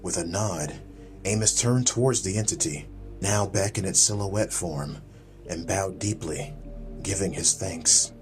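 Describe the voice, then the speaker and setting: A man with a deep voice narrates a fantasy audiobook. His delivery is measured, literary and dramatic, with music playing clearly behind him.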